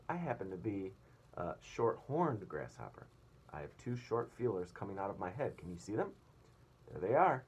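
A man voicing a courteous, proud elderly grasshopper violinist in a children's storybook, in a lecturing manner. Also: low-pitched. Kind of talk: children's storybook read-aloud. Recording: clear room noise.

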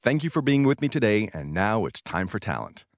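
A man's voice: fairly low pitch, very animated, fairly quick, no room sound, clean studio sound. A man reading a scripted podcast introduction.

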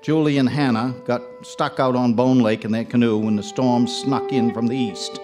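Small-town local narrator played by a male actor, folksy and plainspoken, medium pitch, grim audio drama storytelling. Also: steady tone, normal speed, loud music behind the voice, close to an everyday voice. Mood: reflective, grim.

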